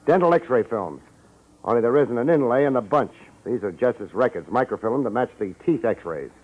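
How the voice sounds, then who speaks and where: A man's voice: medium pitch, animated, moderate pace, husky. An American man acting a hard-boiled, wry private detective in a 1950s radio drama, narrating in slangy style. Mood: cool, wisecracking. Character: wisecracking hard-boiled male private detective, cool under threat. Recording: dull old radio recording, music behind.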